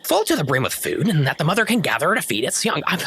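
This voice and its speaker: A man's voice: on the high side, huge pitch swings, fast, gravelly, pushed and bright, breathy edge, voice pushed up, exaggerated voice. A man voicing a scrawny, eccentric naturalist in a tabletop game, fussy, stammering and defensive. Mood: indignant, defensive. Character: tall scrawny bald eccentric chicken-breeding naturalist, indignant.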